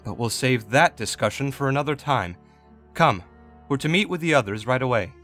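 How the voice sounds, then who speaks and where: An actor voicing a composed, authoritative male archangel with a measured, formal manner in an audio drama. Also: mid-range voice, lively intonation, soft background music, natural voice. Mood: firm. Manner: measured, formal, quietly commanding.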